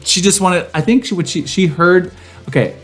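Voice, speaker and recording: A man's voice: high-pitched, moderate pace, fairly bright tone. Campy, sassy man chatting, music behind. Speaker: podcast co-host. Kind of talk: casual, chatty banter. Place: home garage set up as a studio.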